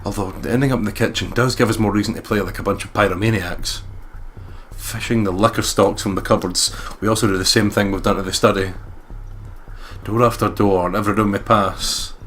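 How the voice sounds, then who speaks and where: Bright, forceful low-voiced man. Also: slight background noise. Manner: excitable, sweary, jokey ad-libs. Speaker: let's play host reading aloud. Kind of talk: dramatic reading of a visual novel with joking commentary. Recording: music behind the voice. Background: game music.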